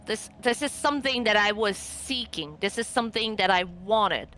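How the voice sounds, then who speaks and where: A woman speaking in character as a player in a tabletop roleplaying game, deliberate and reassuring. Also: medium pitch, expressive, sharp tone, slight background noise. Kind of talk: improvised in-character conversation.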